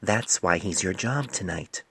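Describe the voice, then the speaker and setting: A man voicing a stern, wise older female pony physician in a storybook reading, speaking in a measured, grave, admonishing way. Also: sits low, gravelly, a bit bright, husky, exaggerated voice. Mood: sober, commanding.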